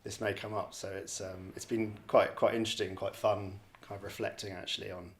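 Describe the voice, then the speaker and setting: A man's voice: fairly low pitch, flat delivery, brisk. A man from the borders of Scotland giving a reflective, casual interview answer as a podcast guest, hesitant and often rephrasing himself. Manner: hesitant, repeats and rephrases phrases. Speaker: podcast guest, real estate director.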